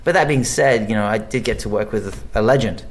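A man's voice: lowish voice, fairly quick, a little hiss or hum. A man, an actor, chatting casually in an interview, rambling with many restarts and fillers. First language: English. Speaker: actor guest.

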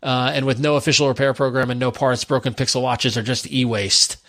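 A man's voice: medium pitch, flat delivery, fairly quick, fairly bright tone. A man co-hosting a tech podcast, reading listener emails aloud and chatting about them casually. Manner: reads emails aloud then adds his own comments.

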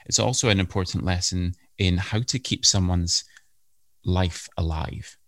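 A man hosting a supportive interview, speaking in a measured, reassuring way. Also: sits low, a bit bright, dry recording, clean studio sound. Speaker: host.

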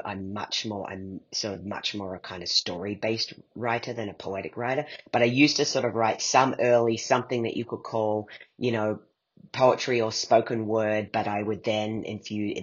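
Middle-aged guy being interviewed, kinda husky, rambles and hesitates, muffled sound. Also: on the low side, steady tone, normal speed. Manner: hesitant, meandering, thinking aloud. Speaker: guest, playwright and performer. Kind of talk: reflective interview conversation.

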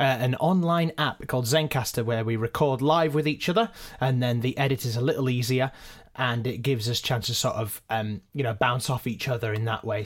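Man chatting through behind-the-scenes production. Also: medium pitch. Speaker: podcast co-creator, editor and voice actor. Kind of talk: informal behind-the-scenes explanation.

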